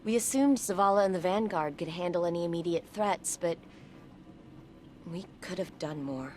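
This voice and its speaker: A woman's voice: fairly low pitch, moderate pace, darkish tone, slight background noise, close to an everyday voice. A woman playing an earnest, determined soldier and tech expert in a video game, speaking persuasively. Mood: reflective, earnest.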